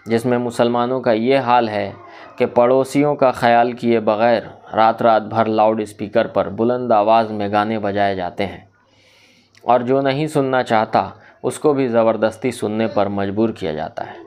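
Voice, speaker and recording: A man delivering a scripted social commentary in a formal, measured, oratorical manner. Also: mid-range voice, a little mellow, clear, resonant tone. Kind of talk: scripted reformist monologue in literary Urdu.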